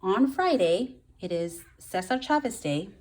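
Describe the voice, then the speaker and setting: A woman with a medium-pitched voice speaks as a first-grade teacher. She explains things to young children in simple words, stressing the key ones, in the style of a read-aloud lesson. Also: animated, measured pace, darkish tone.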